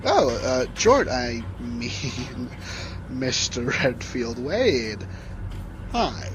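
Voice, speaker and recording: A man's voice: medium pitch, sing-song, drawn-out words, over music, rough recording. A man playing a shy, nerdy receptionist with a crush in an audio drama, stammering and hesitant. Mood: flustered, shy.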